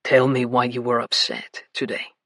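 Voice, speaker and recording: A woman voicing a commanding, possessive grown man who speaks as a low, coaxing murmur. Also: level tone, moderate pace, a bit bright, no echo, clean studio sound, heavily acted.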